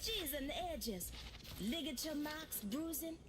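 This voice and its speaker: Confident Jamaican-accented woman soldier, high wry game callouts, noisy game audio. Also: expressive, slow pace. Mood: brisk, wry. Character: confident female squad fighter in a battle game, wry and businesslike. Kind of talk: video game character callouts.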